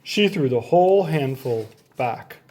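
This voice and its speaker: A man reading a children's story aloud in a playful, animated way, leaning on the sound words. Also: medium pitch, unhurried. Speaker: storybook reader.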